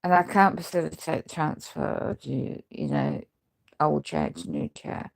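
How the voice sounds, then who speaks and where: A woman's voice: very animated, a little slow, gravelly. A woman who is ill with a chest infection, with a crackly voice, short of breath and struggling to speak as she gives a rambling, aggrieved personal account. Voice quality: crackly, deep voice. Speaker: housing tenant speaking out. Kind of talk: rambling personal video testimony.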